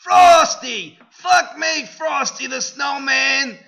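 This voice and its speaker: A man putting on a frantic young female elf, pleading and shouting in a shrill, melodramatic falsetto-like voice. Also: takes it slowly, creaky, full character voice. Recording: dull lo-fi recording.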